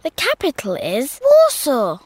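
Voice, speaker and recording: A woman voicing a friendly, expressive young girl in a scripted textbook dialogue, with a clear, careful, sing-song delivery. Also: high-pitched, measured pace, no echo, heavily acted.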